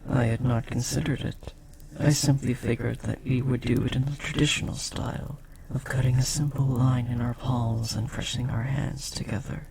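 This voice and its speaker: A man playing a devoted, lovestruck demon in an intimate audio roleplay, speaking formally, earnestly and with breathy hesitation. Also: mid-range voice, noisy background. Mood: eager, reverent. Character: devoted, lovestruck powerful demon bound to a sorcerer.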